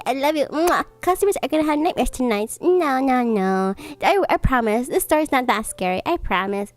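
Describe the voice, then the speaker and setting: A woman livestreamer with a cutesy, gushing way of talking, chatting to viewers and reading bedtime stories. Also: high-pitched. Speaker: streamer, host.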